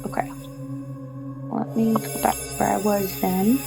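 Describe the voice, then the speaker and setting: A woman's voice: medium pitch, little pitch movement, a little slow, vocal fry, over music. A woman playing a tabletop role-playing game, chatting casually in a tentative, questioning way.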